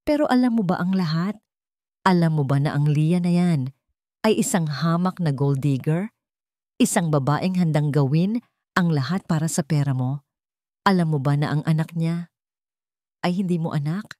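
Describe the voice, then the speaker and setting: A woman's voice: low voice, normal speed, pauses often, warm tone, no room sound, clean studio sound, close to an everyday voice. A woman voicing a scheming, haughty female rival in a dramatic storybook, mocking and confrontational. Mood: taunting.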